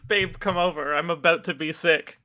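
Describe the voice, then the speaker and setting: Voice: mid-range voice, steady tone, normal speed, pushed and bright. A podcast host chatting casually, joking and rambling through the intro. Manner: joking, self-deprecating, rambling. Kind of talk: casual chat.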